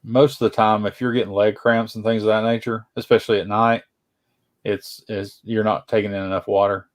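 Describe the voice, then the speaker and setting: A man's voice: fairly low pitch, flat delivery, brisk. A man in his mid-forties chatting easily and agreeably in a group call, with many fillers and little words of assent. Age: 40s. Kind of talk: casual group chat.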